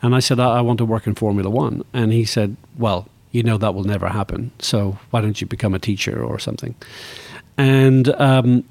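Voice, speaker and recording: A man's voice: fairly low pitch, fairly even intonation, fairly quick, vocal fry, darkish tone, no room sound. A sixty-year-old man with a Northern Irish accent, talking reflectively and enthusiastically as a guest in a conversational interview. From Northern Ireland. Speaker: interview guest, motor racing executive.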